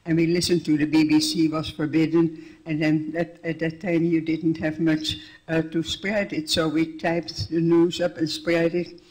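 Older woman with a Dutch accent, husky. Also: low-pitched, level tone, moderate pace, a little mellow, rough recording. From Netherlands. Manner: plainspoken, restarts sentences, wry. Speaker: guest speaker. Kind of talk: personal testimony to an audience.

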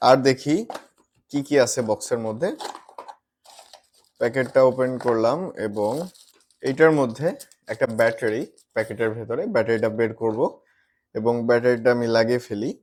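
A man's voice: mid-range voice, steady tone, normal speed, long pauses, darkish tone. A man presenting a product review to viewers as a gadget reviewer, mixing in English words and phrases.

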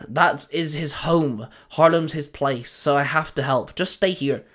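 A man voicing a brisk, determined young woman tech genius with a snappy, insistent manner. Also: a little high, fairly bright tone.

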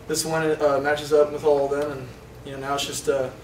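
A young college quarterback speaks at a postgame press conference, halting, with many fillers. His pitch sits a little high but barely moves. The microphones are set back, so the voice sounds distant and roomy with faint noise. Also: little pitch movement, a little mellow. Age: young adult. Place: indoor press room in front of a sponsor backdrop. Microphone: table microphones from a short distance.

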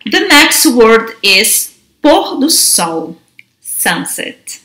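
Female teacher in her 30s, bright tone, unhurried and gentle. Recorded in a bare, echoey room. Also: fairly high pitch, very animated. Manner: gentle, enunciates each word slowly. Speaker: language teacher. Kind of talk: slow, careful teaching to camera. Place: large, bare indoor room. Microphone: camera mic at desk distance. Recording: roomy echo of an empty room.

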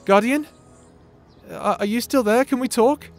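High-pitched, timid, stammering young man played by an actor. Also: unhurried, soft background music. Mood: flustered, nervous. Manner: stammering, apologetic, hesitant. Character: timid, lovesick young male adventurer, flustered and eager. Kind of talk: audio roleplay drama acting.

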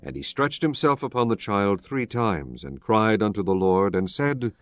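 A man narrating a dramatized Bible from a script in a solemn, measured storytelling manner. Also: lowish voice, animated.

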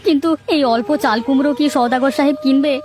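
A woman's voice: sits high, fairly even intonation, quick pace, close to an everyday voice. A woman voicing a poor, humble pumpkin farmer who pleads and wails in a cartoon. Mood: worried. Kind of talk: cartoon voice acting. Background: background music.

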